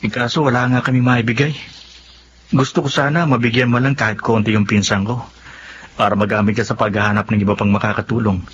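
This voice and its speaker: A man's voice: lowish voice, steady tone, fast, a bit bright, close to an everyday voice. A man with a Filipino accent playing a glib, carefree young office worker who lies easily in a radio drama. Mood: feigned sorrow. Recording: muffled audio with faint background noise.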